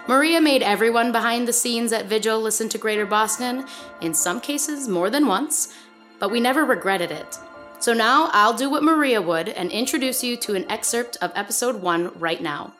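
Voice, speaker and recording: A woman's voice: on the high side, fairly bright tone. A woman giving a scripted podcast introduction as the show's producer. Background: music.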